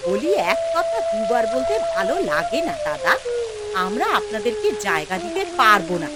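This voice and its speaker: A woman voicing a callous, sharp-tongued, haughty housewife who scolds with contempt in a cartoon. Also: medium pitch, very animated, moderate pace, loud music behind the voice, close to an everyday voice. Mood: scornful, angry. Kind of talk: cartoon fairy-tale voice acting.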